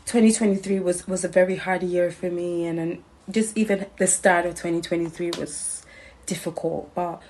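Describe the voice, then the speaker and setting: A woman's voice: fairly low pitch, darkish tone, a little hiss or hum, poor audio. A woman reading out an earnest recorded message of thanks, repeating her gratitude. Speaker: guest sending a message.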